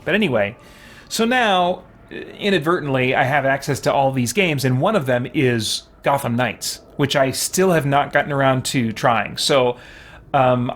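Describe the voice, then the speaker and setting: A man live-streaming a game, talking casually and haltingly as he thinks aloud and reads out viewer comments. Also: medium pitch.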